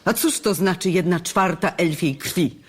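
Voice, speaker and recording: A woman's voice: on the low side, a little slow, husky. An actress in an audio drama playing an indignant woman, exasperated and dismissive. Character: indignant woman scornful of a silly superstition.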